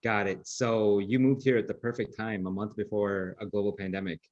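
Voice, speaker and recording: Low-voiced man hosting a casual chat. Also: flat delivery, brisk, darkish tone, no room sound, muffled recording. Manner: friendly, casual, filler-heavy. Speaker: podcast host. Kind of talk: casual interview.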